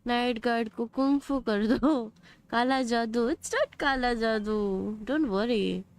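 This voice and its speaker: Woman streamer, chatty, mixes Hindi into English, a bit high. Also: a little slow. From India. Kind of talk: casual live game stream chat.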